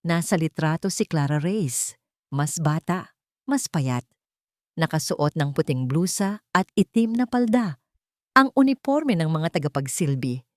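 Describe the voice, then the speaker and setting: A woman's voice: deep voice, lively intonation, unhurried, no echo, studio quality. A woman with a Filipino accent reading a scripted dramatic romance story as its narrator.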